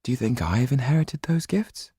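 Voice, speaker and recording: A man voicing an eager, questioning teenage boy in an audiobook, with a light, breathy voice and an urgent, earnest manner. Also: mid-range voice, expressive, normal speed, warm tone, no room sound, clean studio sound, pitched above the performer's own voice. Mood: earnest wondering. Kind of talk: audiobook character reading.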